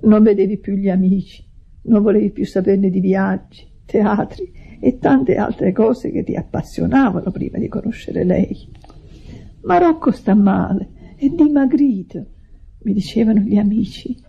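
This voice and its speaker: An actress playing a devoted, lonely sister in an Italian radio drama, husky and earnest, with a hurt confessional manner. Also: female voice, mid-range voice, a little slow. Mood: reproachful. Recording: dull vintage radio drama recording.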